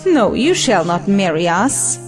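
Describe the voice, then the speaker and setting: A woman's voice: medium pitch, big pitch swings, measured pace, faint music behind, poor audio. A woman playing an idealistic, resolute bride in an audio play, impassioned and emphatic. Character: resolute, idealistic bride defending free love. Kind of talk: audio stage play acting.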